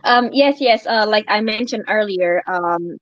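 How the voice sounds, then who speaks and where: A woman from Indonesia with an Indonesian accent, speaking English as a second language and answering questions in a live audio Q&A, pausing often with fillers. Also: medium pitch, dry recording. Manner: hesitant, many fillers. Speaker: project representative answering questions. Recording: dull, lo-fi online audio.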